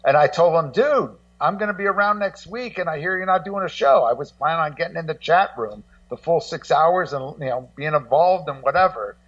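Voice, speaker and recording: A man co-hosting as a guest in casual, swearing chat, rambling excitably and repeating his words. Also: fairly high pitch, a little fast, few pauses. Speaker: guest co-host. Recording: dull lo-fi, distant-sounding connection.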